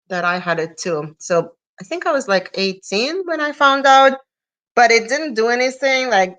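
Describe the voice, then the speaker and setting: A woman, talking from home over a webcam. Her voice is bright and clear, and she speaks softly and matter-of-factly, with plenty of quiet mm-hmms. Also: mid-range voice. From Russia. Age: 40s. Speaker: guest. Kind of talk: conversational interview. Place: home room, indoors. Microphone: video call webcam.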